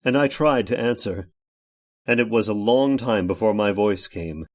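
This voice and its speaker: An actor playing an anguished, vengeful man in an old time radio horror drama, speaking haltingly with dramatic pauses. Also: on the low side, a little mellow, lightly acted. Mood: dazed, haunted. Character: anguished man turned vengeful robot, narrating his horror tale. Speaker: narrator and lead actor.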